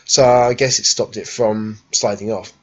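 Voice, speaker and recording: A man in his thirties with a croaky cold voice, chatting casually on his sofa into a webcam. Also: on the low side, steady tone, moderate pace, dull audio. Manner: rambling, chatty, many fillers. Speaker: vlogger. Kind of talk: casual vlog chat. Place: living room sofa at home, then busy indoor exhibition hall. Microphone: webcam at home, handheld phone selfie at the show.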